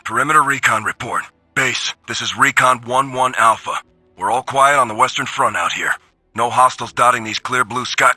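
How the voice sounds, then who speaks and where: A sardonic robot soldier from a video game. The voice is sharp and raspy, gruff and full of wry complaint, recorded very dry and close. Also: male voice, mid-range voice, normal speed, no room sound, soft background music. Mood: wry reporting. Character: grumbling, sardonic male robot soldier giving war reports. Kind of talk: video game audio log acting.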